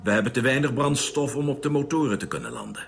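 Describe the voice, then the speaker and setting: An actor playing a terse, decisive spaceship captain in a Dutch radio play. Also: male voice, medium pitch, huge pitch swings, fast talker, vocal fry, over loud music. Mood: brisk, serious. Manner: terse, decisive, giving orders. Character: commanding male captain of a spaceship to Mars. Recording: noisy radio drama recording.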